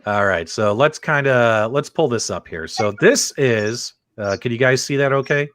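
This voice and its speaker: A man's voice: medium pitch, lively intonation, moderate pace, a bit bright, no echo. A man hosting a live-streamed panel, chatting casually, joking and quizzing the others.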